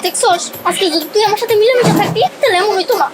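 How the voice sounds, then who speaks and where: A woman's voice: very high-pitched, quick pace. A woman playing an indignant, whiny, self-excusing young shop assistant in a comic drama, with a Bangladeshi accent. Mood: pleading. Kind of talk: comic short-drama acting. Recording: loud music behind the dialogue.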